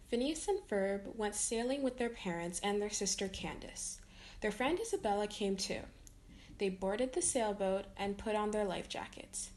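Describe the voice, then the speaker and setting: A woman's voice: medium pitch, darkish tone. A woman reading a children's picture book aloud as a storytime reader.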